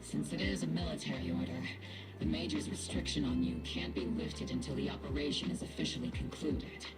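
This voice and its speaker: A woman voicing a stern, dutiful, weary but caring female army captain in a video game, with a low husky voice. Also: sing-song, fairly quick. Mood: measured, resigned. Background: game music.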